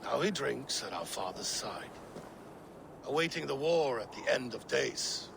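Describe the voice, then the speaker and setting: An actor playing a brooding, drunken Viking king who grieves his brother and tells slow, heavy stories of his family. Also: mid-range voice, lively intonation, gravelly, noisy background. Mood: solemn. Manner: slow, heavy, mournful storytelling. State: drunk and unwell. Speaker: game character. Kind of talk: video game cutscene acting.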